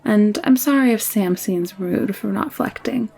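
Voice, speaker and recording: Protective young heroine for audio drama, played by a woman: coaxing, assured, medium pitch with noticeable creak. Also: a little slow, mellow. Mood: cheerful, coaxing. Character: warm, headstrong, protective young woman, alien-world traveler. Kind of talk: scripted audio roleplay drama.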